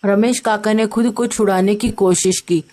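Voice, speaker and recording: A woman's voice: medium pitch, fairly even intonation, moderate pace, mellow. Woman narrating a scripted story.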